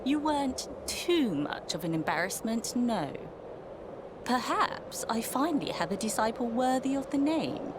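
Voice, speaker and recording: Slow, imperious female martial master. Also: fairly high pitch, big pitch swings, background noise. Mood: grudging approval. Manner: commanding, haughty, measured. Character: stern, imperious female martial arts master, grudging with praise. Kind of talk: dramatic video game voice acting. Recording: loud music behind the voice. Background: loud game music.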